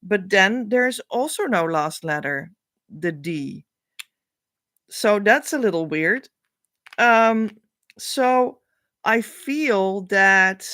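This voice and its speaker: A woman with a Dutch accent, a spiritual coach thinking aloud as she reads meaning into letters, with many pauses and hesitations. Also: mid-range voice, a bit bright, dry recording, clean studio sound. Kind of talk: casual spiritual reading.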